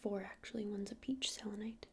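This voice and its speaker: A woman playing a friendly witch shopkeeper in an ASMR roleplay, speaking in a soft breathy whisper with hesitant, informal explanations. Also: medium pitch, steady tone, fairly quick, slight background noise, natural voice. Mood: gentle, helpful.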